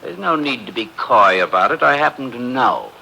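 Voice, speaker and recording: A worried, impatient wealthy man who snaps his words short. His voice is husky yet bright. The pitch rises and falls with his impatience. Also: very animated, moderate pace, full character voice, poor audio. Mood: brusque. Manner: curt and abrupt. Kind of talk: 1940s radio acting.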